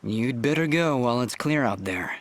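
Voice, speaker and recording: A man's voice: medium pitch, normal speed, lightly acted. A man voicing an earnest, kind but wry young swordsman hero in a video game. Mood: reassuring. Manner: calm, wry, reassuring. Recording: faint game ambience behind the voice.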